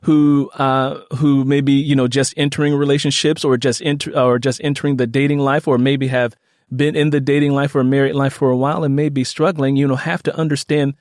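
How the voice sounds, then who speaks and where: An American man speaks as an interview host in a very dry recording with hardly any room sound. His pitch sits in the middle for a man. He talks earnestly and reflectively, wandering through his thoughts with many "you know" fillers. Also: flat delivery, brisk, darkish tone, no room sound.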